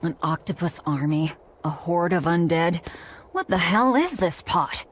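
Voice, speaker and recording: A woman's voice: lowish voice, lively intonation, measured pace, slight background noise. An actress voicing a curt, irritable, stern young woman warrior in a video game, with a blunt, impatient manner. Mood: irritated, suspicious. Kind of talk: English-dub video game acting. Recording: music behind.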